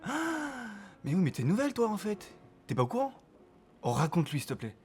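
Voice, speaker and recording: Un acteur joue un marin jovial et taquin, au parler familier, dans une fiction sonore. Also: male voice, sits high, huge pitch swings, fairly quick, darkish tone, soft background music. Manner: bantering, colloquial. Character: teasing, jovial sailor at cards with crewmates. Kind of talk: audio fiction dialogue.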